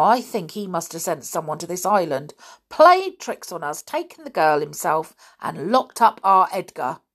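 A woman voicing an angry, scolding mother in a children's storybook, loud and full of indignant accusation. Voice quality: loud, shrill. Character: shrill, angry, scolding working-class mother, a villain.

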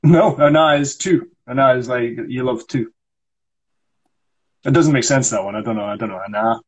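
A man's voice: mid-range voice, normal speed, slightly rough. A young Scottish man on a live stream, encouraging and explaining pronunciation step by step. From Scotland. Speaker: Scottish actor teaching accent. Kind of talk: casual live-stream chat. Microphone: phone or webcam on a live stream.

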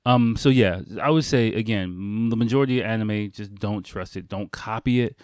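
A man with a fairly deep voice talks as the host of a casual solo podcast. His delivery is informal and conversational, with loose swearing. The sound is dry, with very little room in it. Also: normal speed, darkish tone, smooth, no echo. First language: English.

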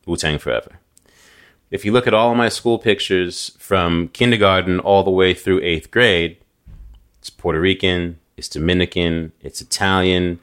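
A man with a very deep, low voice talks casually and animatedly on a podcast. He grew up in New York, and he tells stories with plenty of restarts. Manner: animated storytelling, frequent restarts and fillers. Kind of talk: casual conversation.

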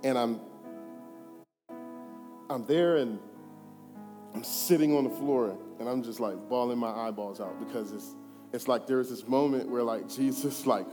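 A man in his thirties giving a personal testimony, earnest and conversational, moving between hushed and emphatic. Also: medium pitch, unhurried, pauses often. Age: 30s. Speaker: church speaker giving testimony. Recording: reverberant hall with faint music.

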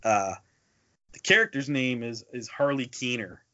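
A man's voice: medium pitch, unhurried, sharp tone, no room sound. A man co-hosting a podcast, chatting casually about movies and telling stories in a conversational way. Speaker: podcast co-host. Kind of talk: casual movie review chat. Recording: dull lo-fi podcast audio.

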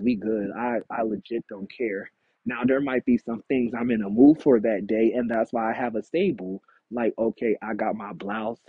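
A man in his thirties hosting his own podcast, talking candidly and casually, with animated quotes of himself. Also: mid-range voice, creaky, husky, dry recording. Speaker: podcast host. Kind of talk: casual solo podcast monologue. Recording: tinny phone-like audio, dry.

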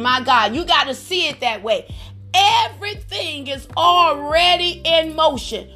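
A woman minister preaching fervently on a prayer call, with a slightly raspy voice and frequent bursts of praise. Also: sits high, sharp tone. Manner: fervent, repeats praise phrases.

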